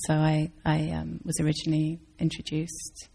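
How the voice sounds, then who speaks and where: A woman's voice: deep voice, completely flat delivery, normal speed, creaky, slightly rough. A woman Zen teacher answering audience questions in a thoughtful, searching way. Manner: thoughtful, searching, with restarts and soft laughs. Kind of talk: dharma talk Q&A answers.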